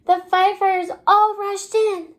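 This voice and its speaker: A woman kindergarten teacher reading a story aloud to young children, expressive and pausing to prompt them with questions. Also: very high-pitched.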